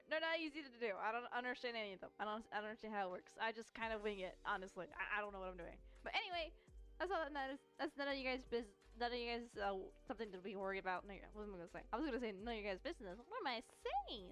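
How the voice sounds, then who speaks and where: High-voiced woman, hesitant game streamer. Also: very animated, fast talker, a bit bright. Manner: hesitant, rambling, self-correcting. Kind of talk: casual gaming let's-play chat.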